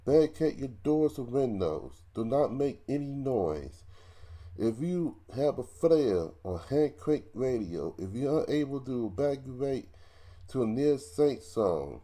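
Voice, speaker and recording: A man giving an ASMR roleplay emergency broadcast announcement in a halting, repetitive way with stumbles. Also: mid-range voice, sing-song, dark tone.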